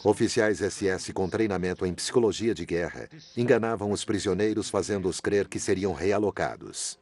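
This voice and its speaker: A male documentary narrator speaks in a low voice. His scripted delivery is measured and grave. Each sentence is set down evenly and deliberately. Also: a little mellow.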